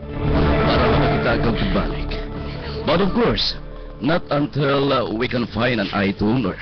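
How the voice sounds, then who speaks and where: Actor as grave Cebuano-accented doctor over prominent music. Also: male voice, medium pitch, animated, a little slow, close to an everyday voice. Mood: grave, explaining. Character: grave, formal male eye doctor. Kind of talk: radio drama acting. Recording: dull lo-fi radio stream, music behind.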